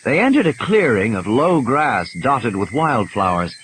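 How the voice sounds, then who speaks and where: A man's voice: medium pitch, sing-song, unhurried, a bit bright, slight background noise, low-quality recording. A man giving a dramatic scripted narration of a story as an audiobook narrator. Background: music and sound effects.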